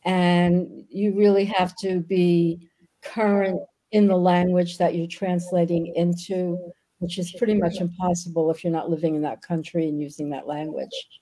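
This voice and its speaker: An older woman whose first language was Yiddish, speaking as a guest author on a video call from a book-lined room, pausing often with ums. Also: lowish voice, level tone, dark tone, poor audio. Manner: thoughtful, frequent ums. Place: home room with bookshelves.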